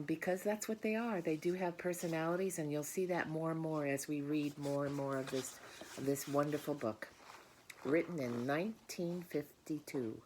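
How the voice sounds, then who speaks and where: A woman's voice: low-pitched, darkish tone, a little hiss or hum. A woman reading a children's book aloud, speaking directly to her listeners with explanatory asides. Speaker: storybook reader.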